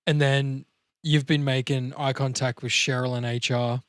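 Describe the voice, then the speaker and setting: A man's voice: mid-range voice, little pitch movement, normal speed, fairly bright tone, clear, resonant tone, no room sound, clean studio sound. An Australian man hosting a podcast in casual, crude banter, blokey and swearing freely. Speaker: podcast host.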